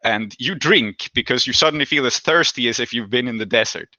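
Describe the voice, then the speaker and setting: Male game master: conversational, thoughtful improvised narration, forward bright voice, dry and slightly dull recording. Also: mid-range voice, fairly quick, no room sound.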